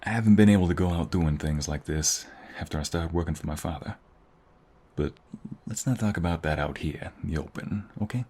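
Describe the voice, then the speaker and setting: A man playing an affectionate, teasing young husband in an intimate ASMR roleplay, speaking tenderly in a hushed, husky, gravelly voice. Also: low-pitched, fairly quick. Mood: relaxed, warm. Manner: tender, teasing, hushed, lingering delivery with sighs and soft chuckles.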